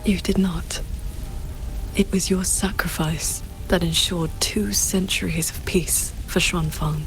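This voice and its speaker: A woman playing a solemn, composed warrior in a game, with a measured, grave and softly breathy delivery. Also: fairly low pitch, fairly even intonation, soft background music, poor audio. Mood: gentle, solemn. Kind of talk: dramatic game voice acting.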